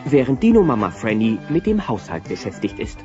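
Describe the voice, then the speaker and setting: A man narrating a children's audio play as an expressive storyteller. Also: medium pitch, brisk. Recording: dull cassette transfer with music.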